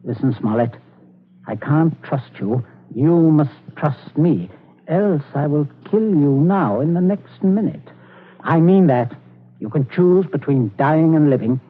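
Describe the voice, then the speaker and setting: An actor playing a ruthless middle-aged father who calmly threatens a man at gunpoint, in 1940s radio drama style. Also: on the high side, a little slow. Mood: menacing calm. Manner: calm, clipped, coldly threatening. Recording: tinny old radio broadcast.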